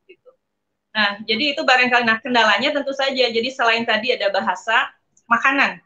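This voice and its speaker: A woman's voice: fairly high pitch, brisk, sharp tone. A woman with an Indonesian accent, a guest speaker informally recounting and explaining personal experiences. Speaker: guest speaker, former student abroad. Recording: slightly dull, lo-fi stream audio.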